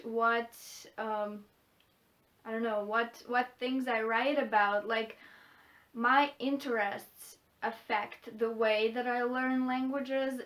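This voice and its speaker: A young woman from Latvia, a native Russian speaker, chatting casually in a vlog and often pausing to search for words. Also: on the high side, a bit bright. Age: young adult. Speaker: language vlogger. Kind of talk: casual chat to camera answering questions.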